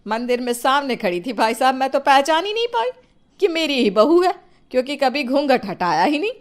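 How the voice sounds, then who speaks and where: Here is an actress as an exasperated middle-aged mother-in-law in an audio moral story. Her voice is fairly high. She scolds and nags theatrically. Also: sing-song, a little fast. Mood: complaining. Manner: nagging, theatrical. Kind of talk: acted Hindi moral story.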